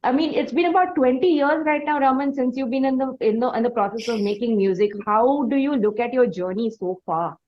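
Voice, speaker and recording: A woman's voice: fairly high pitch, brisk, few pauses, highs cut off. A woman with an Indian accent hosting an interview, speaking in a wordy, effusive conversational style. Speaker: interviewer, host.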